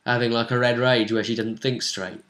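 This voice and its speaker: A man chatting casually as a game master, recapping a tabletop role-playing session in an animated storytelling way with hesitant asides. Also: medium pitch, normal speed.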